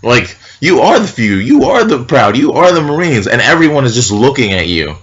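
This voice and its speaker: A young man telling a story casually and with a lot of swearing, with a loud, heavy voice, acting out the shouted lines he quotes. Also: mid-range voice, normal speed. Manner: animated, profane, acts out shouted quotes. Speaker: vlogger. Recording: dull lo-fi sound.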